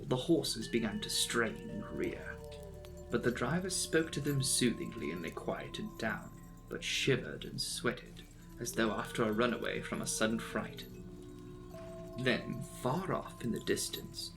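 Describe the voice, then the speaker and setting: A man narrates a gothic novel as a dramatic audiobook. His pitch swings widely through the phrases, and his mid-range voice carries a heavy gravelly creak. Music plays behind him. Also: poor audio. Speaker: audiobook reader. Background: background music.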